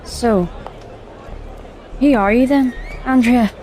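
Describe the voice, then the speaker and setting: An actress voicing a pragmatic female space commander from Earth who speaks in a calm, dry, practical way. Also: a little high, slow, some chatter behind, slight background noise. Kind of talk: audio drama acting.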